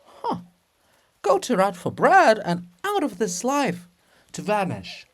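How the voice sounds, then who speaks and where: A man's voice: sits high, wildly swooping pitch, slow pace, fairly bright tone, pitched above the performer's own voice, full character voice. A man voicing the monument of a sardonic, nervous prince in a theatrical farce, mocking and exclamatory. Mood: mocking, indignant. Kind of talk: theatrical dramatic reading of a farce.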